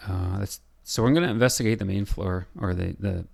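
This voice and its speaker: Man, very low voice, heavy vocal fry, hoarse and scratchy, halting tutorial walkthrough. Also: darkish tone. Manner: thinking aloud, halting with fillers. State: hoarse, losing his voice. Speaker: building designer. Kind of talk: software tutorial screencast.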